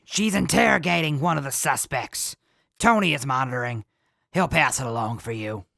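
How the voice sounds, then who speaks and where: Woman playing a creaky-voiced, earnest jail deputy. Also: very deep voice, fairly bright tone, dry recording, heavily acted. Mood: businesslike. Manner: polite, measured, official. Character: earnest, by-the-book small-town jail deputy. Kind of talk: audio drama acting.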